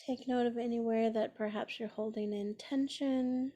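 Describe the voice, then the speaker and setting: A woman leading a guided meditation in a gentle, soothing manner, pausing often between phrases. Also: fairly high pitch, steady tone. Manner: gentle, unhurried, with long pauses between phrases.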